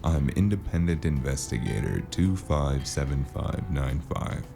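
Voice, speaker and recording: Deep gravelly noir narrator man. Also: unhurried. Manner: dry, wry and deadpan. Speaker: narrator and lead character. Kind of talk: noir audio drama narration. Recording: music score playing underneath.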